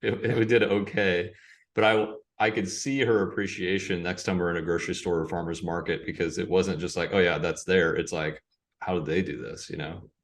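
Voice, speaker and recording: A man hosting an interview, chatting in a relaxed, conversational way. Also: sits low, a little fast, slight background noise. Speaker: podcast host. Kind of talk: casual interview questions.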